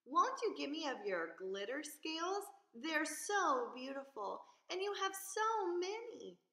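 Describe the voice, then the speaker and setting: Woman voicing a high, pleading little blue fish. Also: very animated, bright, forceful tone, roomy sound, heavily acted. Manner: drawn-out, pleading, eager. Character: small pleading, sweet-natured young blue fish. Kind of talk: children's storybook character voice.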